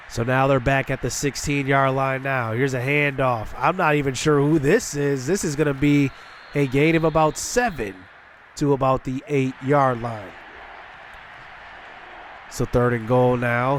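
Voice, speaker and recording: A man's voice: mid-range voice, a little hiss or hum. Congested man calling a football video game. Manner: excited play-by-play, shouting on big plays. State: congested from illness. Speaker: gaming channel host. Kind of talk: play-by-play commentary over a football video game.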